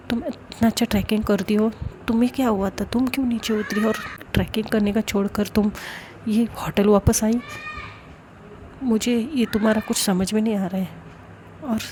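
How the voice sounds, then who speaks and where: A woman's voice: medium pitch, noisy background, close to an everyday voice. A woman voicing a young woman who confronts her friend with sarcastic, accusing questions.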